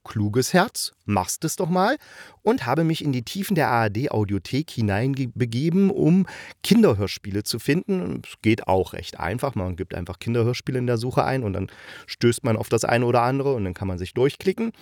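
A man's voice: mid-range voice, sing-song, a little fast, a little mellow, dry recording, studio quality. A man hosting a podcast solo, talking casually in a playful, self-ironic, rambling way as he reviews. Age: adult. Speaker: podcast host reviewing audio dramas.

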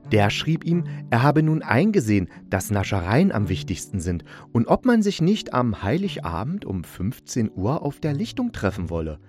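A man narrating a fairy tale as an expressive storyteller, reading from a script. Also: mid-range voice, normal speed, hardly any pauses, soft background music.

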